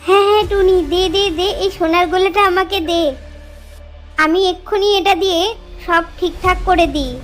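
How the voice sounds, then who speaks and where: A woman voicing a greedy demon disguised as a fairy queen in a children's cartoon, wheedling and hurried. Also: very high voice, steady tone, soft background music. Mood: greedy, eager. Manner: hurried, wheedling, repetitive urging.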